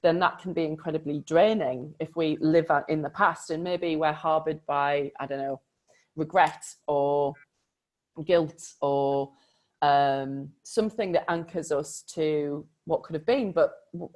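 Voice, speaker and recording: An animated woman coach shares her views in a casual conversation. Her voice sits a little low for a woman, and she leans hard on her key points. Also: a little slow. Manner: animated, emphatic. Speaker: coach and co-host.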